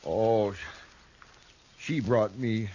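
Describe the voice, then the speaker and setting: An actor playing a timid, frightened young outlaw who stammers and pleads, in a 1950s radio drama. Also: male voice, lowish voice, fairly even intonation, very slow, dark tone, highs cut off. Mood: shaken. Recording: old radio broadcast with hiss.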